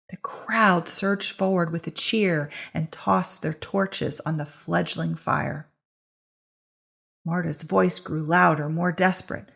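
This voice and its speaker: Husky, creaky woman narrating dramatic fiction. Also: on the low side. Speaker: story narrator.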